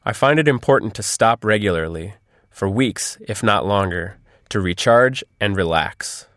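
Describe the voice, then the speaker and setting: A man with a fairly low voice plays the guest in an interview. He delivers prepared, read-aloud answers in a dry recording with no echo. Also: expressive, normal speed, fairly bright tone.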